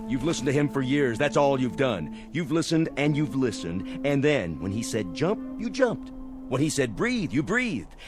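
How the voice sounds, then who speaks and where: An old television soundtrack with loud music carries an actor as a ruthless male agitator. He barks orders and taunts like an orator, his pitch swinging widely in vintage drama style. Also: lightly acted. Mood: fierce. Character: ruthless commanding armed male stranger inciting starving villagers to revolt. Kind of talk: 1960s television drama acting.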